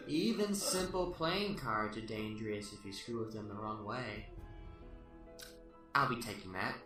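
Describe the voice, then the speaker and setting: A man's voice: on the low side, measured pace, long pauses, distant mic, lightly acted. A man playing a sadistic, gloating serial killer in a radio play, taunting and menacing his victims. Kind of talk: amateur radio play acting. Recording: echoey, off-mic, music underneath.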